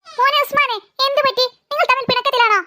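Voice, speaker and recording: A woman's voice: very high-pitched, steady tone, quick pace, bright, forceful tone, heavily acted. A woman voicing a fussy, concerned mother in a children's cartoon, coaxing and nagging.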